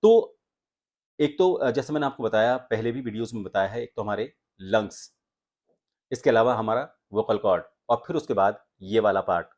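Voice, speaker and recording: शांत आवाज़ में समझाता हुआ आदमी. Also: male voice, lowish voice, normal speed, darkish tone. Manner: explanatory, demonstrates humming sounds. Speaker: voice coach and anchor. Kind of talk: voice training lesson.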